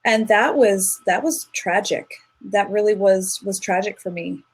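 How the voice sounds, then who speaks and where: A woman's voice: mid-range voice, steady tone, unhurried, breathy edge. Earnest woman telling a personal story. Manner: earnest and reflective, with frequent restarts. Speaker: guest, singer. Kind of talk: personal storytelling in a conversational interview.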